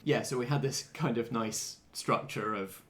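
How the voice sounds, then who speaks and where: A man's voice: mid-range voice, normal speed, a loud crowd. A man hosting a podcast as game master, chatting casually about a game. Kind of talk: casual backstage discussion.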